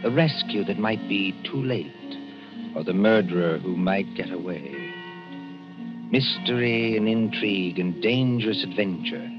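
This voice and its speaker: A man with a low voice narrates in dramatic 1940s radio suspense style. His delivery is ominous and scripted, on a dull old broadcast recording with faint music behind. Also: animated. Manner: ominous, deliberate storytelling. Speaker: radio show narrator and announcer.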